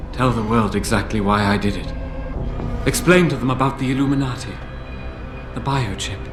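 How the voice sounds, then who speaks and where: An actor playing an aging male tech visionary in a video game, grave and measured, breaking into anguished shouts. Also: mid-range voice, close to an everyday voice. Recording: roomy game audio with music.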